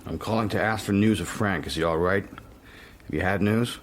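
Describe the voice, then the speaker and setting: A cool, secretive American man played by an actor in a radio drama, with a fairly low voice. He sounds controlled and insistent, both in dialogue and in first-person narration. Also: moderate pace, lightly acted. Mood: urgent, determined.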